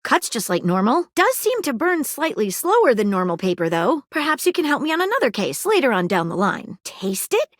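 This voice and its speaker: An eager lady detective from a video game, with a high, swooping voice in a dry, close recording. She is earnest and precise, phrasing things politely and a little formally, with an awkward romantic streak. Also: big pitch swings, a little fast, no room sound. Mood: thoughtful, curious. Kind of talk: video game character voice acting.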